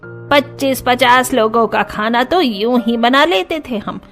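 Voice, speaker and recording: A woman voicing a sharp-tongued older aunt in a cartoon story, sneering and complaining. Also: on the high side, a little slow, faint music behind. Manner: sneering, taunting. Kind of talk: Hindi cartoon story dialogue.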